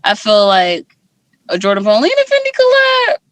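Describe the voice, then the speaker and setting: A woman's voice: mid-range voice, wildly swooping pitch, moderate pace, a bit bright, no room sound. A young woman from Guyana, guest musician in a casual interview, talking candidly with lots of fillers.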